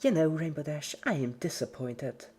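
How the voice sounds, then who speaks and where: Sadistic female cartoon pony, put on by a story reader: high, chirpy, very animated, playful menace throughout. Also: moderate pace, slightly rough. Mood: mock disappointed. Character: giggly, sadistic female party pony, relentlessly cheerful.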